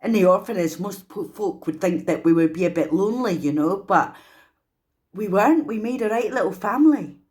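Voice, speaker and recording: A woman with a Scottish accent performing a dramatic, emotive solo stage monologue as a traumatised young woman raised in an orphanage, pausing deliberately. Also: mid-range voice, lively intonation, darkish tone, close to an everyday voice. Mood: wry, reminiscing.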